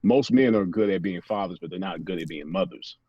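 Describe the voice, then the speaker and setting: A man's voice: low-pitched, fairly quick, dark tone, breathy edge, dry recording, lo-fi sound. An American man talking casually and reflectively as an interview guest, philosophical in the way of a motivational speaker, often checking in with "you know".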